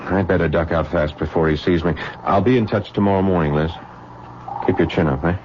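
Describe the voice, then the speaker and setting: Man playing impatient, lovestruck young doctor; very low voice, vintage radio drama, muffled and noisy. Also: fairly quick, close to an everyday voice. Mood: tender, resigned. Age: 20s. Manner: earnest, pleading. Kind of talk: old radio drama acting.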